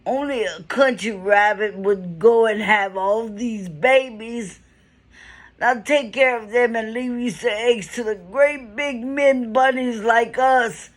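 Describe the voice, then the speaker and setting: A woman's voice: fairly high pitch, takes it slowly. A woman voicing smug, scornful big male rabbits in a storybook.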